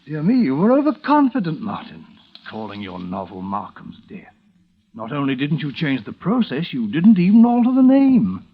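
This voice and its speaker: An actor playing a precise, politely menacing literary scholar in a 1940s radio drama. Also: male voice, a little high, wildly swooping pitch, moderate pace, breathy edge, exaggerated voice. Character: scholarly, quietly menacing male blackmailer. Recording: dull, lo-fi old radio sound.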